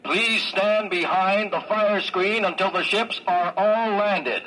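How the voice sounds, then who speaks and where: Slow, forceful spaceport announcer. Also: male voice, sits high, full character voice, low-quality recording. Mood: official, urgent. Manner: formal, declamatory. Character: brisk official loudspeaker announcer at a spaceport. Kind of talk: 1950s radio drama public announcement. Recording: old radio recording, faint noise.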